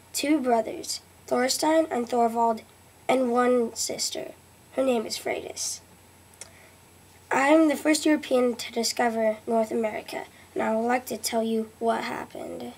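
A woman's voice: fairly high pitch, breathy edge. A young girl playing Leif Erikson, viking explorer. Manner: reads haltingly with restarts. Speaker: student presenter. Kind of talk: school history report in character. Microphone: webcam at close range.

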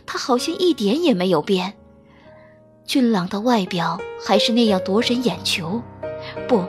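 Woman narrator, high, lively audiobook voice. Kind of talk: dramatic scripted narration of a romance novel.

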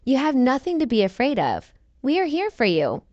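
Woman as storybook mother bird, high swooping voice, slow, gentle, patient, coaxing. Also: wildly swooping pitch, no echo, lo-fi sound. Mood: gentle concern. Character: gentle, encouraging mother robin in children's storybook.

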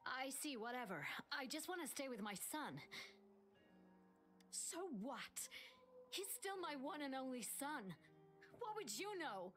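A woman's voice: sits high, sing-song, unhurried, long pauses, fairly bright tone, soft background music, full character voice. A woman playing a desperate, devoted mother in a video game, guarded and emotional. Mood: defensive.

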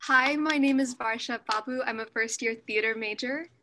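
Young woman, rather high voice, bright sharp tone, casual introduction. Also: moderate pace, slight background noise. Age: young adult. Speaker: theater student. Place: indoor room, video call.

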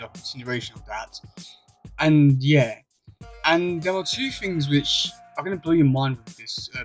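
A young man talking casually to camera as a YouTuber, enthusiastic but rambling and a little hesitant. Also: medium pitch. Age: young adult. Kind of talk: casual vlog chat to camera. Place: small indoor room at home. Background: music playing.

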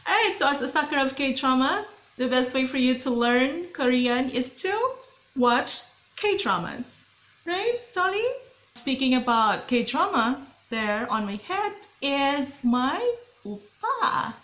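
A woman's voice: high-pitched, animated, takes it slowly, fairly bright tone, echoey room, rough recording. A young Filipino woman with a Filipino accent, chatting upbeat and playful in a casual vlog to camera in a small bedroom. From Philippines. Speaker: vlogger.

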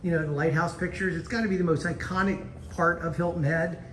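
A man's voice: fairly high pitch, fairly even intonation, a little fast, echoey room, far from the mic, a little hiss or hum. A man hosting a casual local video tour, chatting with enthusiasm inside an art gallery. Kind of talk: casual chat.

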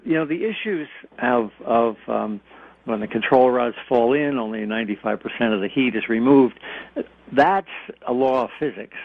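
Gravelly older man on a phone line. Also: mid-range voice. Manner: plain-spoken explainer with everyday comparisons. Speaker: expert guest, former nuclear industry executive. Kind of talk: explanatory radio interview by phone. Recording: narrow, phone-like radio line.

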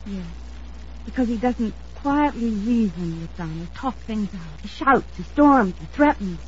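A woman's voice: mid-range voice, expressive, measured pace, close to an everyday voice. An actress playing an anxious, insistent young woman in an old-time radio drama, speaking in an earnest, emphatic, dramatic manner. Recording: dull lo-fi vintage radio recording.

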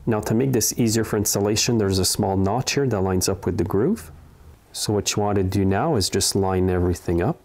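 A man's voice: fairly low pitch, brisk, darkish tone. A middle-aged man narrating a hands-on repair tutorial in a plain-spoken, step-by-step way. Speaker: small engine repair host. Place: indoor repair workshop.

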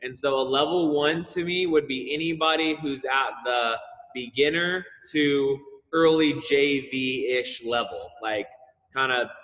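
A man's voice: medium pitch, bright, forceful tone. Man, volleyball coach, casual explaining, video call, reverberant gym. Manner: casual, explanatory, lots of fillers. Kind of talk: online coaching seminar. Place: large indoor gym.

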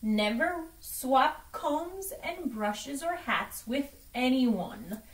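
A woman's voice: sits high, expressive, drawn-out words, pitched above the performer's own voice, exaggerated voice. A woman voicing an emphatic, exasperated dog doctor who lectures his patients in a children's storybook. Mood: instructive. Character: stern, exasperated know-it-all dog doctor. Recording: distant microphone in a room.

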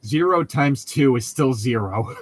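A man's voice: mid-range voice, lively intonation, a little slow, slightly rough, dry recording. Casual sarcastic man, dry close sound. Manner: sarcastic, sweary, slangy. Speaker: co-host reading a book aloud and mocking it. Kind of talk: casual comedic chat and mock read-aloud.

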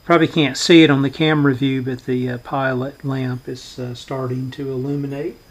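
A man giving a hands-on workbench demonstration as host, speaking in a halting, unhurried, matter-of-fact way. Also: medium pitch, level tone. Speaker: host demonstrating a vintage radio repair. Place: indoor workbench.

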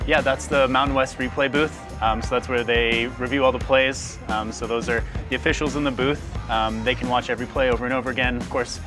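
A man's voice: medium pitch, fairly even intonation, fairly quick, few pauses. A man working in stadium production, informally explaining things in an interview. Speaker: stadium game-day production staffer. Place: stadium press box. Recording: music under speech.

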